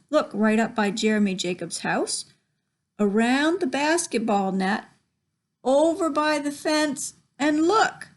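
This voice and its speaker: A woman's voice: high voice, fairly bright tone. A woman reading a children's story expressively, with chatty asides to young listeners about the pictures. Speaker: library storytime reader. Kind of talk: picture-book read-aloud with chatty asides.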